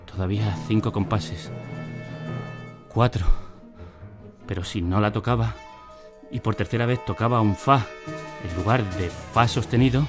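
A man's voice: on the low side, a little slow, long pauses, over music, lightly acted. An actor playing a man who recounts his boyhood in wry, literary radio drama narration. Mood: anguished. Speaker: first-person narrator.

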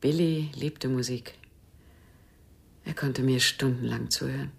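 A woman's voice: a bass voice, steady tone, unhurried, gravelly, husky, full character voice. A woman acting a polite, wistful widow and former teacher in a German radio play, speaking courteously and hesitantly.

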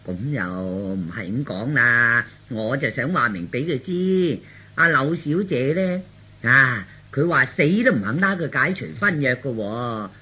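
A man's voice: medium pitch, expressive, a little slow, bright, forceful tone, slightly rough, exaggerated voice. A man voicing a meddling middle-aged aunt in Cantonese radio storytelling, earnest, insistent and colloquial. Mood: earnest persuasion. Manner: insistent, colloquial, full of rhetorical tags.

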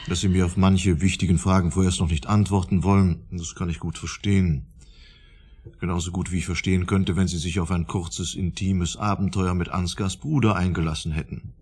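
An actor playing a smug, probing psychology professor in a German radio drama, lecturing insistently in a husky, gravelly voice. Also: male voice, low voice, fairly even intonation, moderate pace, darkish tone. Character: smug, probing male professor of psychology, theorizing about everyone.

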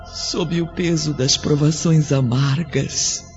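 Slow high-voiced praying spirit, solemn pleading, music behind, muffled audio. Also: male voice, steady tone. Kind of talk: radio drama acting.

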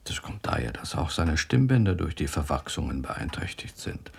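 A knowing, measured doctor in a radio drama. His voice is deep, gravelly and husky, and his delivery is grave. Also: big pitch swings, darkish tone, whispered, full character voice. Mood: grave, explanatory. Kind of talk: German radio drama acting.

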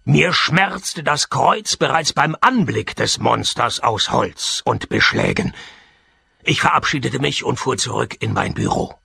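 An actor playing a jaded middle-aged private detective in a radio play, husky and deadpan. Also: male voice, medium pitch, measured pace, exaggerated voice. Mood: brooding, dry. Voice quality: husky, gravelly. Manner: deadpan, sardonic. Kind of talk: radio play, dry noir narration and dialogue.

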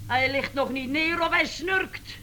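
An actress playing the neglected, chatty wife of an inventor in a comic radio play, exclaiming and complaining dramatically. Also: female voice, high voice, a little slow, bright, forceful tone, a little hiss or hum.

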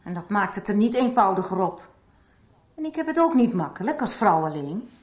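A woman's voice: medium pitch, big pitch swings, a little fast, reverberant, natural voice. An actress playing an assertive middle-aged mother in a radio soap, firm and formally polite as she stands her ground. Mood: firm, combative. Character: assertive divorced mother standing up to a school official. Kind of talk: 1990s radio soap acting.

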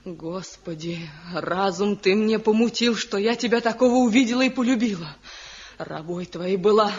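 An actress playing Milkana, a desperate young wife, pleading and tearful in a 1970s radio play. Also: female voice, medium pitch, moderate pace, close to an everyday voice. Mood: anguished. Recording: dull lo-fi old radio recording.

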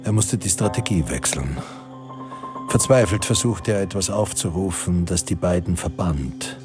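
An actor as a grave storyteller narrating a wartime radio drama in a measured, literary manner. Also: male voice, sits low, lightly acted. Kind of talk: scripted third-person radio drama narration. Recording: studio radio play with music underneath.

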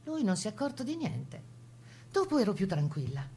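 A woman's voice: mid-range voice, sing-song, fairly quick, mellow, breathy edge, exaggerated voice. A woman playing a plucky Italian Resistance partisan, telling her wartime memories in the first person as a dramatized radio testimony. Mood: tense recollection. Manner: composed first-person storytelling.

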